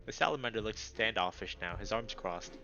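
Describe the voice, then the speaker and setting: A man reading a visual novel aloud as a streamer, breaking off into casual, excitable reactions. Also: fairly low pitch, brisk, highs cut off. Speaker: let's play streamer, narrator. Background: background music.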